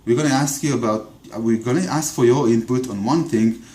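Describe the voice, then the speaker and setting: A man's voice: medium pitch, brisk, darkish tone. A man with an Israeli accent, talking through a political argument off the cuff, often stumbling over repeated words and fillers. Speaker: video commentator. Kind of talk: unscripted political monologue. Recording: echoey room.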